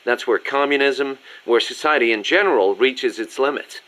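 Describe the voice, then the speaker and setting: A man's voice: medium pitch, moderate pace, fairly bright tone, close to an everyday voice, rough recording. A man playing a businesslike, articulate military second-in-command explaining things in a video game. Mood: serious, explanatory. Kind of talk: scripted video game briefing dialogue.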